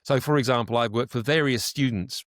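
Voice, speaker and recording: A man's voice: medium pitch, brisk, a bit bright, no echo, clean studio sound. A man speaking as an interview guest, a voice actor explaining his work in a reflective, conversational way.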